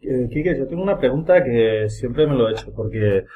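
A man's voice: medium pitch, lively intonation, a little fast, narrow, tinny audio. A man with a Spanish accent from Spain, hosting a casual chat among friends in a colloquial way, often repeating his phrases. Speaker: podcast host.

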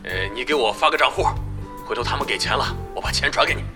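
A man's voice: mid-range voice, lively intonation, fast, vocal fry. An actor playing a cheeky, theatrical young male gamer, chatty and boastful, in a Chinese audio drama. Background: music.

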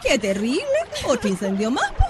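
A woman playing a worried, protective, poor mother and neighbour in a radio drama, colloquial and expressive with a Chilean accent. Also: on the high side, moderate pace. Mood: shaken. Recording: clear ambient noise behind voice.

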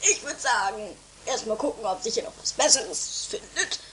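A woman's voice: fairly high pitch, lively intonation, normal speed, fairly bright tone, a little hiss or hum. A woman playing a smug, whiny, easily disgusted companion in an amateur comedy radio play.

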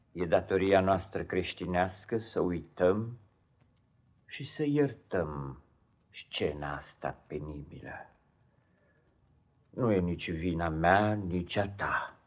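An actor playing a cold, domineering, self-righteous husband in a radio play, pompous and stern, with deliberate theatrical delivery. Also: male voice, sits low, fairly bright tone, breathy edge, full character voice. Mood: cold, commanding. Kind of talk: 1950s radio theatre acting.

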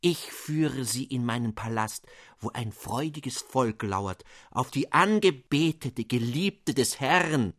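A man's voice: medium pitch, expressive, slow pace, hardly any pauses, fairly bright tone, dry recording. A man voicing a tiny, pompous gnome king who speaks in flowery, grandiloquent and fawning phrases in a storybook reading.

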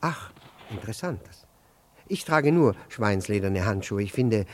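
An actor in a 1960s German radio play voicing an indignant, flustered, pompous jealous husband who stammers through formal phrases. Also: male voice, fairly low pitch, expressive, measured pace, darkish tone, a little hiss or hum. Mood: flustered, polite.

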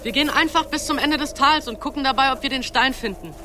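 A man's voice: very high-pitched, fast talker, sharp tone, a little hiss or hum. A young male actor playing a brave but wary boy on a quest in a radio drama. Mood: determined.

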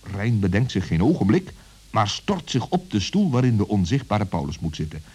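A man's voice: on the low side, big pitch swings, moderate pace, a little mellow, husky. A man narrating a scripted children's story as a storyteller.

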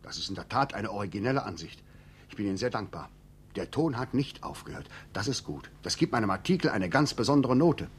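A man playing a glib, brisk newspaper reporter in a German radio play, polite, persuasive and businesslike. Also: on the low side, sing-song, a little hiss or hum. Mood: detached, satisfied.

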